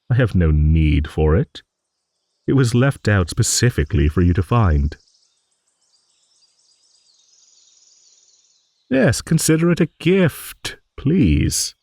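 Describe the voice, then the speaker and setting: A man playing a gentle, courteous old dragon who rambles hesitantly in an improvised fantasy audio roleplay. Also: low-pitched, breathy edge, dry recording, studio quality, full character voice. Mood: gracious, kindly. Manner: rambling, hesitant, genteel, with musing hums and pauses.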